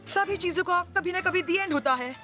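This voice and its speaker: Cartoon schoolboy: very high voice, smug, mischievous, taunting, loud music behind. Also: female voice, normal speed. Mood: triumphant. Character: gloating, mischievous young boy who taunts his rival. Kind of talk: animated cartoon dub acting.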